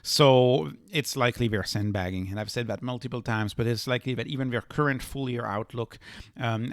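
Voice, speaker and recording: A man's voice: mid-range voice, no echo. Man giving emphatic financial commentary, dry recording. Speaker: host of a stock investing channel.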